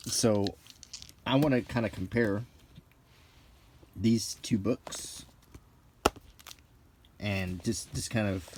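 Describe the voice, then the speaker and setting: A middle-aged man talking casually and unscripted in an unhurried, musing way with frequent pauses, like an unintentional ASMR video. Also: fairly low pitch, a little mellow, slight background noise. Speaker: channel host.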